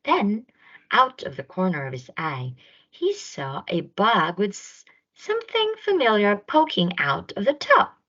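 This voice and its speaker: A woman narrating a children's picture book aloud in an expressive storytime style. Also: medium pitch, a little slow, lo-fi sound.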